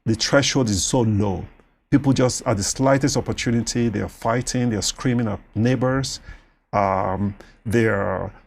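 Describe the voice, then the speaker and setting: A man with a Nigerian accent whose first language is Yoruba, talking as a doctor in a studio interview, animated and quick to laugh. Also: mid-range voice. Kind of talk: conversational interview.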